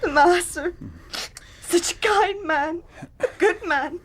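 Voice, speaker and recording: A woman's voice: extremely high pitch, takes it slowly, a bit bright, heavily acted. An actress in a radio drama playing a devoted maidservant, tearful and overcome, crying as she speaks. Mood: sobbing grief.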